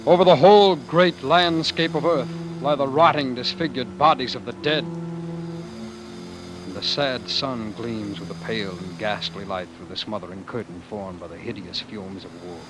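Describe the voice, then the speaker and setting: An actor playing a weary, haunted man in a grave, halting 1940s radio drama monologue, on an old broadcast recording. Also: mid-range voice, noisy background, lightly acted. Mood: anguished, bleak. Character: weary, haunted man narrating a dying world.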